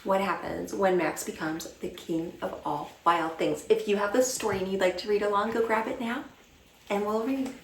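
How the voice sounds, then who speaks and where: A woman reading a children's picture book aloud as a storytime teacher, with expressive, dramatic delivery and drawn-out words. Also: medium pitch. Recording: roomy echo, distant mic, faint noise.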